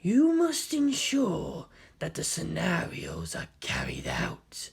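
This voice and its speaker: A narrator voicing a sinister, severe old man, a conspirator with a breathy, whispery voice. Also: medium pitch, huge pitch swings, slow pace, heavily acted. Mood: menacing, grave.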